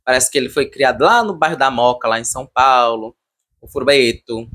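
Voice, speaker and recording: A man's voice: mid-range voice, moderate pace, sharp tone. A Brazilian man giving casual, unscripted commentary as a video reviewer, repeating words and leaning emphatically on his opinions.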